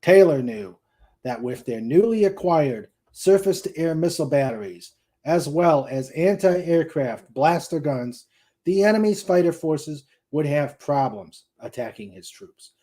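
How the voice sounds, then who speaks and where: A man narrating a science fiction war novel in a deliberate read-aloud delivery, with small stumbles here and there. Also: a little high, animated, dark tone. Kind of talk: dramatic reading of a sci-fi war novel.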